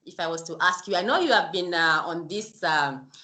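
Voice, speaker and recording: A woman's voice: fairly low pitch, moderate pace, sharp tone, reverberant. A woman hosting her own show, chatting in a casual interview with effusive, gushing praise. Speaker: YouTube show host.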